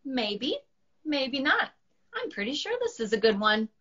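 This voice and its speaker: A woman's voice: high-pitched, sing-song, a little slow, fairly bright tone, voice pushed up, exaggerated voice. A woman voicing a modest, hopeful monster poet in a children's storybook, hesitant and earnest, in a slightly dull recording. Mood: unsure.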